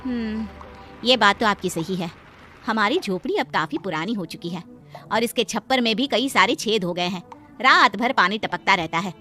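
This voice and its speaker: A woman's voice: medium pitch, moderate pace, bright, forceful tone, faint music behind, natural voice. A woman voicing a poor housemaid and wife, anxious and pleading, in a cartoon. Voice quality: pleading, fretful. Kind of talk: Hindi cartoon voice acting.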